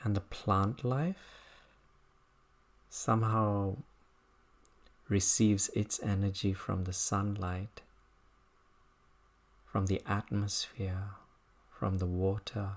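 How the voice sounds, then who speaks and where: A meditation teacher guiding a body scan practice in an unhurried, contemplative manner, speaking in short, halting phrases. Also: low-pitched, level tone.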